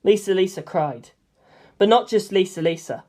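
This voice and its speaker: A woman's voice: medium pitch, expressive, moderate pace, dark tone. A woman reading a novel aloud as a scripted first-person narration. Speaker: amateur audiobook reader.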